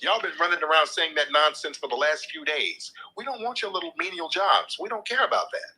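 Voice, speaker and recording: A man's voice: fairly high pitch, fairly quick, pushed and bright, husky, rough recording. A man hosting a live call-in show, lecturing and arguing combatively, swearing freely and talking over the caller. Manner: combative, lecturing, repeats points. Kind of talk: heated live call-in argument.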